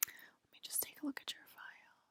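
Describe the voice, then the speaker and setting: A woman doing an ASMR roleplay in a breathy whisper and soft talk, reassuring the listener like a clinician and often checking in. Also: brisk, no room sound. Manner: hesitant, reassuring, clinical patter with frequent checking "okay?".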